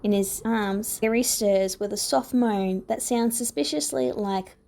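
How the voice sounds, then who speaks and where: A woman reading scripted fiction aloud as a narrator, with expressive storytelling delivery. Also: a little high, unhurried, vocal fry. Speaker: podfic narrator.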